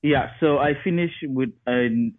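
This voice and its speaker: A man's voice: medium pitch, measured pace, dry recording. A man, giving casual, conversational interview answers as a podcast guest over a remote call. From Cameroon. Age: 20s. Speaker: podcast guest, software engineer and photographer. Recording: narrow tinny phone-like line.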